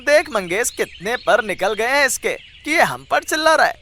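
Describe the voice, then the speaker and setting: A man voicing a bullying, sneering servant henchman in a cartoon story, loud and taunting. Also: very high voice, very animated, moderate pace, voice pushed up, heavily acted. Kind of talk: Hindi cartoon dialogue.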